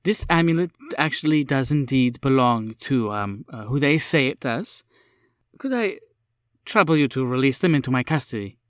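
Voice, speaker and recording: A composed woman professor from a tabletop game, acted out by a man. The delivery is measured, polite and persuasive. The sound is dry and close. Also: medium pitch, animated, a bit bright, dry recording, close to an everyday voice.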